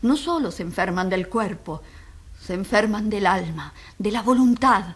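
An actress playing an earnest, impassioned advocate addressing a jury with emphatic rhetorical questions in a radio courtroom drama. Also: female voice, mid-range voice, lively intonation, normal speed, husky.

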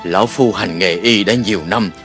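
A man playing a humble old physician in a dubbed historical cartoon, measured and respectful. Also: fairly low pitch, fairly bright tone, faint music behind, close to an everyday voice.

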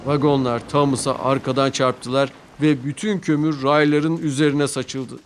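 A man narrating a children's cartoon storybook-style, with clear and expressive delivery. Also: mid-range voice, moderate pace. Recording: music playing behind.

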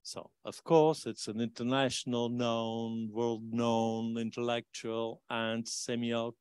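A man's voice: medium pitch, flat delivery, unhurried, a little mellow, clean tone, no echo. An older man, speaking in an academic discussion at a conference table and stressing key words with emphasis.

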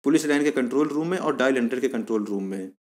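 A man's voice: medium pitch, animated, very fast, darkish tone. A man giving an informal explainer voice-over, going step by step and repeating key points as he addresses viewers as friends. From India. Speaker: YouTube channel host explaining a police job.

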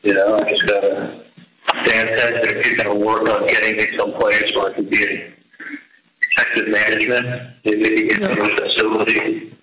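A middle-aged man speaks in a flat, even voice over a jail phone line with clear noise and echo. His casual talk rambles and halts as he goes. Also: fairly low pitch, normal speed. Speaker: jail inmate on a phone call. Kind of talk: casual private phone conversation. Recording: noisy, echoey recorded jail phone call.

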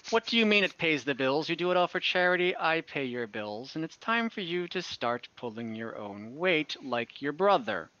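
A man voices a wealthy, fussy father with a slightly high, lively voice and a bright tone. He sounds pompous and fatherly. The audio is lo-fi, like a video call. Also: normal speed, hardly any pauses, no echo. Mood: scolding. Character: wealthy fussy father of a cleric, male, middle-aged. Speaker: game master.

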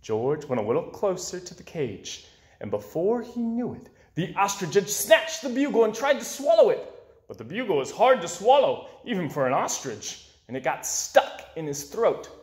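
A young man narrates a children's storybook aloud. His voice sits a little high and swings widely for dramatic emphasis, with roomy indoor echo around it. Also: sing-song, normal speed. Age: 20s. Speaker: storybook reader. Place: small indoor room with bookshelves.

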